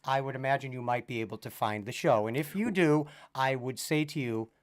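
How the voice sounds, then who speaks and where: A man's voice: mid-range voice, fairly quick. Chatty man with a slightly froggy voice. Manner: chatty, jokey, talks in long run-on sentences. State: slightly hoarse from a cold. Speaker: podcast co-host. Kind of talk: casual live-stream chat.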